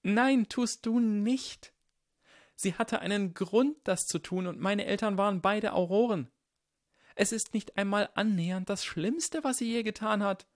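A man voicing a shy, sincere schoolboy in an audiobook, speaking in an earnest, halting, plainspoken way. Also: fairly high pitch, dry recording, clean studio sound, voice pushed up, close to an everyday voice. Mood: shaken, insistent.

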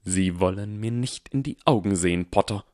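An icy, contemptuous male teacher drawls every word slowly with open scorn, as a man reading a story puts him on. The voice sits deep and low. It is recorded close and dry, with no room sound. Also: darkish tone, no echo, close to an everyday voice. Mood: cold. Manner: slow, icy and sneering.

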